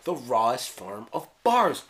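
A Jamaican man in his thirties casually explaining his own rap lyrics in a small room, playful and slangy, sometimes dropping to a hush. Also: mid-range voice, sing-song, unhurried. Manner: playful, slangy, drops into hushed asides. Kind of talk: casual solo video commentary. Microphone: studio mic on stand.

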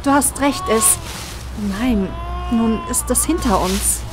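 A woman playing a brisk, alert police deputy in a German horror radio play. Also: fairly high pitch, over music. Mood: tense. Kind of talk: German horror audio drama acting.

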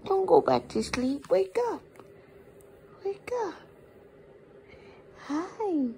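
A young woman, a mother, narrating a casual family vlog at home into a close-held phone, softly cooing to her baby. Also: high-pitched, expressive, very slow, vocal fry, slight background noise. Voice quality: soft, cooing baby talk. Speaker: vlogger mother. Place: home living room. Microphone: phone camera held close.

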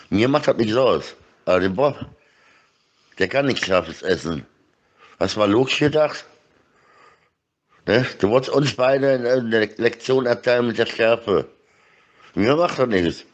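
A middle-aged man in a rambling, insistent and repetitive informal monologue, talking into a phone. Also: lowish voice. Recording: dull lo-fi phone audio.

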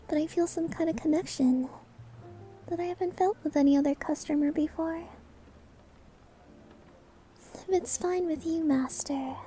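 Very high-pitched shy maid. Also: female voice. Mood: caring. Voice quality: soft, high, girlish. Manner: hesitant, gentle, stammering. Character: shy, sweet young novice maid, flustered and caring. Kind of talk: anime-style ASMR roleplay acting. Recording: music behind the voice.

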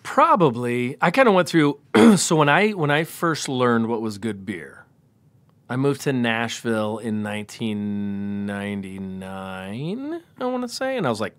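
A man's voice: mid-range voice, big pitch swings, measured pace, fairly bright tone, dry recording. Emphatic, ranting man in his forties. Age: 40s. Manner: emphatic, preachy rants. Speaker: podcast guest. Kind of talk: casual chat.

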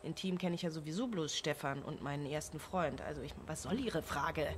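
A woman's voice: low voice, brisk, lightly acted. An actress playing a defensive, indignant single mother in a radio drama, sarcastic and scornful. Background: music.

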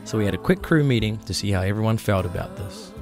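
A young man hosting a sailing vlog, telling the trip in a relaxed, matter-of-fact way. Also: lowish voice, fast talker, over music. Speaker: sailing vlog host and skipper. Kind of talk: casual travel narration.

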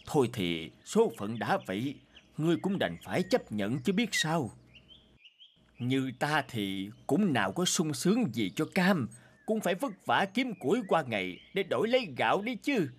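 A man voicing a poor, cautious middle-aged woodcutter in a cartoon, talking slowly and hesitantly. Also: a little high, very animated, slight background noise. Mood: pitying. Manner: slow, hesitant, wary. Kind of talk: cartoon voice acting. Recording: music bed under the voice.

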